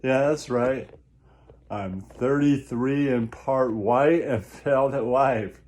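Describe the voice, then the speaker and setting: A man hosting a comedy show in a slow, relaxed voice. He rambles in a crude, mocking way and circles back to the same catchphrases. His tone stays mellow and mid-pitched. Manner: crude, mocking, repetitive, laughs at own jokes. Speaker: comic host of an online show. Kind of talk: rambling comic monologue with repeated catchphrases.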